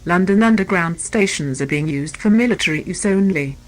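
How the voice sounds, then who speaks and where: A woman's voice: lowish voice, animated, a little fast, low-quality recording. A woman reading a scripted emergency alert in a formal, impersonal manner. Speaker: emergency announcer.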